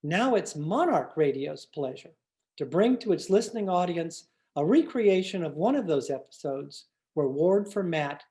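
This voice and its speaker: A woman narrating a scripted radio show introduction as announcer, in a measured read-aloud delivery. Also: lowish voice, expressive, warm tone.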